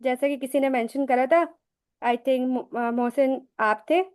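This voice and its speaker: A woman's voice: sits high, steady tone, measured pace, darkish tone, smooth. A woman hosting a live English lesson, explaining in a chatty way with rhetorical questions and personal examples, often mixing English phrases into her talk. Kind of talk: casual live teaching talk.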